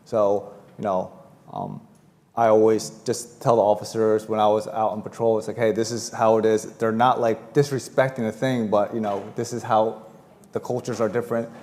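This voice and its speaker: A man's voice: on the low side, little pitch movement, moderate pace, a little mellow, in a large hall. A man whose first language is Chinese, a police officer speaking casually with many fillers on a panel. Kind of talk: panel discussion. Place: university forum hall.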